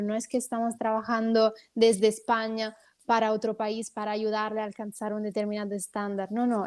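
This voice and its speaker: A woman's voice: fairly high pitch, darkish tone. A woman with an Italian accent, a native Italian speaker answering interview questions as a guest politician, explaining things at length with frequent hesitant fillers.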